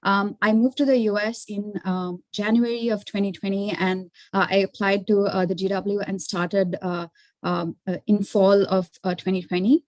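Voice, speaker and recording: A young woman from Sri Lanka introducing herself on an online panel, halting, with frequent fillers. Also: mid-range voice, little pitch movement, a little mellow, no echo, rough recording. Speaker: alumna panelist.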